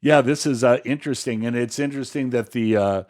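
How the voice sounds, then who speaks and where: Man in casual political discussion, mid-pitched voice. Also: a little fast, a little mellow. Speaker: podcast co-host. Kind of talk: casual conversational political commentary.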